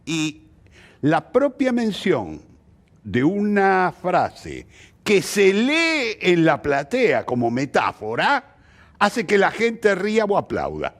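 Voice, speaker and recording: Brief: Argentine man, interview guest, expressive storyteller with very lively, swooping intonation on a fairly high voice. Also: takes it slowly. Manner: expressive, illustrates with anecdotes and acted quotes. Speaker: theatre practitioner interviewed as guest. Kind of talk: conversational interview answer.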